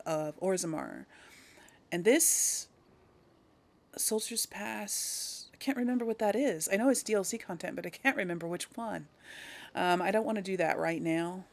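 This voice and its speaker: Chatty woman narrating her video game playthrough. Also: on the low side. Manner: chatty, thinking aloud, talks directly to viewers. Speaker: host of a video game role-play series. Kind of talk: casual let's play commentary.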